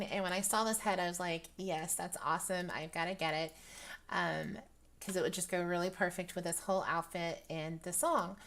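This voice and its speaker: A young woman with a dry, raspy voice, chatting casually through a product review with lots of ums and restarts. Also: lowish voice, fairly bright tone. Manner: rambling, many ums, laughs often. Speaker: virtual-world fashion vlogger.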